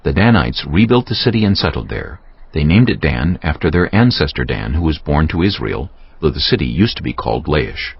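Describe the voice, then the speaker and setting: A man narrating dramatized scripture as a solemn, deliberate storyteller. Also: a bass voice, big pitch swings, gravelly, husky, no room sound. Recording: muffled audio with prominent music underneath. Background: music.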